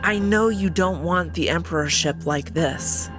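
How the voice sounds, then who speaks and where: Woman playing a stoic female wolf, measured, lower pitch, backing music. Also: natural voice. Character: calm female wolf, the emperor's sister. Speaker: guest voice actor.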